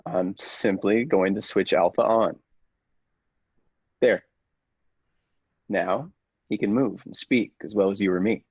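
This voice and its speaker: A man's voice: lowish voice, slow pace, pauses often, slightly rough, no room sound, muffled recording, full character voice. A man playing a persuasive, controlling scientist in an old-style radio play, with a commanding, insistent manner. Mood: placating. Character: persuasive, controlling male laboratory scientist with dangerous ambitions.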